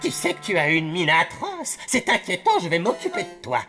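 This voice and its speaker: Theatrical cartoon quack doctor, wildly swinging delivery. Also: male voice, high voice, huge pitch swings, normal speed, music in the background. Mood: alarmed urgency. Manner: theatrical, patronising. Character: quack doctor, brisk, falsely cheerful, bossy and alarmist. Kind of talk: French animated cartoon dub.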